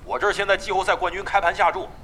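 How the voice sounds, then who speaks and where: A man's voice: high-pitched, little pitch movement, racing, slight background noise. A man playing a brash, cocky gamer in an audio drama, swaggering and slangy in manner. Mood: curious, deflated. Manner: swaggering, loud-mouthed, slangy. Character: brash, cocky, shameless male gamer buddy. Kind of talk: comic audio drama acting.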